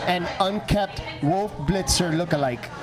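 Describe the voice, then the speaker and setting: A man's voice: high voice, a little slow, a crowd in the background. A man reading scripted narration for a comic radio play.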